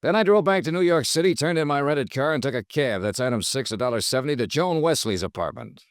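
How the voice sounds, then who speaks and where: A man performs a dogged American private investigator from 1950s radio noir. His medium-pitched voice moves freely up and down as he fires short, probing questions or narrates wryly. The sound is close and dry. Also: lively intonation, fast talker, no echo, lightly acted. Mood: wry narration. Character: dogged, wry male insurance investigator, hard-boiled. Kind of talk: 1950s radio detective drama acting with first-person narration.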